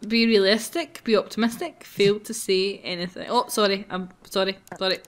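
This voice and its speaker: A woman's voice: mid-range voice, steady tone, moderate pace. A woman hosting a gaming video, reading a visual novel aloud in a playful, giggly, self-mocking way.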